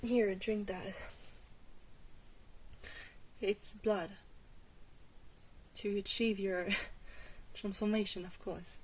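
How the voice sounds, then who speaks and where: A woman playing a very old, protective vampire mentor in a soft-spoken ASMR roleplay, gentle and hesitant, with reassuring pauses. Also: medium pitch, steady tone, poor audio. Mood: coaxing, amused. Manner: slow, hesitant, reassuring, with breathy pauses and small chuckles.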